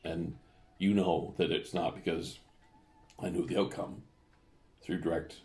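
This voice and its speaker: A man's voice: low voice, fairly even intonation, measured pace, gravelly, mellow. A middle-aged man, a father chatting casually and teasing his daughter. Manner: jokey, dry teasing. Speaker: father and podcast guest. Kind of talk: casual chat.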